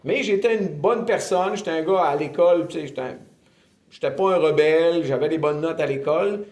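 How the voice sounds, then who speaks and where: A man in his fifties with a Québécois accent, earnestly telling his faith testimony in an interview, often pausing with filler sounds. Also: a little high, a little mellow. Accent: Québécois French. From Quebec, Canada. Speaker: ministry director giving testimony. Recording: roomy echo.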